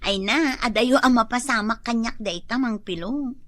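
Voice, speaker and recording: A woman's voice: on the high side, moderate pace, fairly bright tone, dry recording, natural voice. A woman playing a modest hardworking office employee. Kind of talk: radio comedy drama acting.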